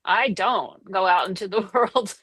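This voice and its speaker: A woman with a mid-range voice talks things through in a relaxed group call, hedging as she goes. Her voice is close and dry on a computer mic. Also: medium pitch, a little slow, breathy edge, dry recording. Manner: thoughtful, hedging with many fillers. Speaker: participant in an online group chat. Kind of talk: casual chat.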